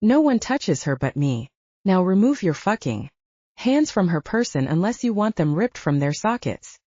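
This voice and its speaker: A woman acts out a fierce werewolf man in a paranormal audiobook. He is possessive and commanding, in a mellow voice recorded dry. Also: medium pitch, sing-song, moderate pace, dry recording, muffled recording.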